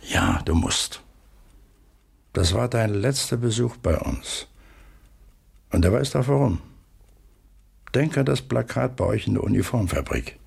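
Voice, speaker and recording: An actor playing a stern, stubborn middle-aged father in a radio drama, terse and authoritative, with a husky, gravelly voice. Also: fairly low pitch, lively intonation, measured pace, pauses often, a little mellow, exaggerated voice.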